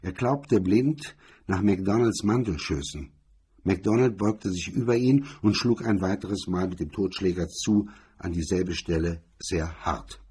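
A male narrator reads hardboiled crime prose. His voice is on the low side and strongly husky, with a dark, mellow colour. The delivery is scripted and literary. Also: a little slow. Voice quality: husky, rough-edged. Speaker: narrator reading a crime story.

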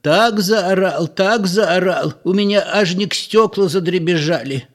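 A man voicing a gossipy old village woman in an audiobook, with drawn-out rustic dialect talk. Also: sits high, voice pushed up. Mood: amazed gossip.

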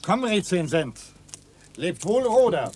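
An actor playing a jovial, boisterous, good-natured bohemian in a radio play, exclaiming heartily. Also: male voice, high-pitched, very animated, slow, darkish tone, slight background noise, full character voice. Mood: warm farewell.

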